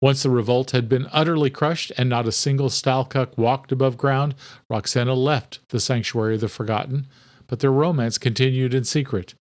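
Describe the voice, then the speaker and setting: A man giving a steady, scripted narration of a horror audiobook. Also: medium pitch, moderate pace, dry recording.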